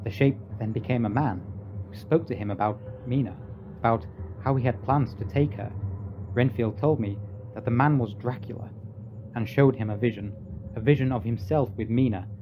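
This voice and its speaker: Male actor as grave doctor: mid-pitched, solemn, dramatic, radio horror, dull noisy track. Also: moderate pace, close to an everyday voice. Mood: grave, shaken.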